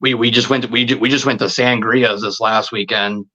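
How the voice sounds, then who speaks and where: Man, thirties, bright forceful voice, halting repetitive speech, casual interview chat, dull muffled recording. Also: mid-range voice, steady tone. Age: 30s. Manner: halting, repeats words and phrases. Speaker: podcast guest, video creator.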